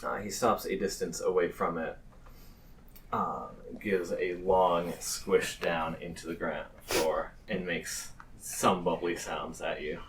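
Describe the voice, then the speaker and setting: Low-pitched male game master, slightly distant. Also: fairly even intonation, slow pace, very noisy. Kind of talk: improvised tabletop game narration.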